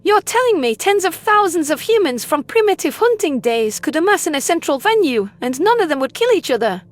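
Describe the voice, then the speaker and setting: Sci-fi audio story creature: very high, swooping, timid childlike alien, hesitant and wondering. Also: male voice, very animated, fairly quick.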